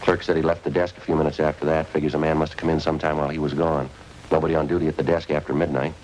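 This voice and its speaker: A man's voice: a bass voice, level tone, very fast, a little mellow, slight background noise, low-quality recording. An actor playing a terse, matter-of-fact male police detective narrating a 1950s radio crime drama. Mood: businesslike. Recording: old radio broadcast with music underneath.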